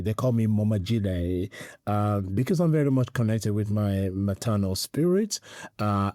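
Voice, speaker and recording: A man's voice: lowish voice, dark tone, no room sound, clean studio sound. A middle-aged man from Nigeria, a priest answering interview questions earnestly and quoting scripture. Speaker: priest and guest.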